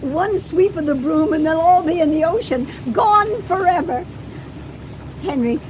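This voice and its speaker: Excitable pious american farm wife, swoopy gentle scolding, old radio play, noisy recording. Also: female voice, very high-pitched, unhurried. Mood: pleased, admiring. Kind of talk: American old-time radio drama acting.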